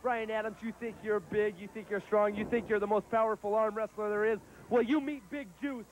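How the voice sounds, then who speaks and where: A cocky man taunts a rival in a wrestling promo, his high voice mocking each boast. Faint noise runs behind him. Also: fairly even intonation, brisk, low-quality recording.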